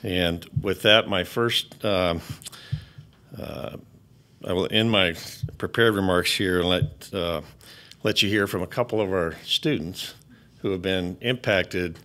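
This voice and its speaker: Deep-voiced man giving halting remarks. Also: pushed and bright. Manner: hesitant, many fillers. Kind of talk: formal remarks.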